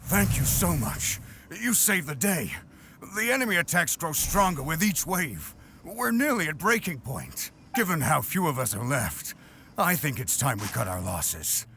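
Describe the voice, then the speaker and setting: A man voicing a sombre, resolute war leader in a video game, with a formal, measured and grave manner. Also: fairly high pitch, sing-song, sharp tone, slight background noise, heavily acted. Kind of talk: video game character voice acting.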